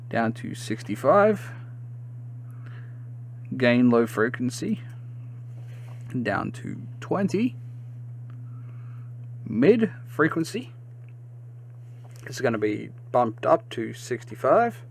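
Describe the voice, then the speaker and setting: A man hosting a step-by-step software tutorial, halting and sometimes repeating or correcting himself. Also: mid-range voice, big pitch swings, creaky, slight background noise. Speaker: tutorial host. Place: indoors, screen-recording voice-over.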